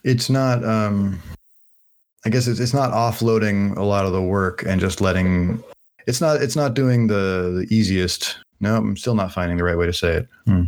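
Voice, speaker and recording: A man's voice: on the low side, normal speed, a little mellow. A man in his thirties hosting a casual podcast chat, rambling and hesitant as he searches for words. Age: 30s. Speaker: podcast host.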